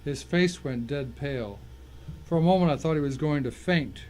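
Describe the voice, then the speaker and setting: A grim first-person thriller narrator, performed by a man. His voice sits slightly higher than average for a man, and he tells the story as a dramatic scripted reading. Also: moderate pace, a little mellow, a little hiss or hum, lightly acted. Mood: tense, grim. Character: tense, vengeful male narrator of crime thriller.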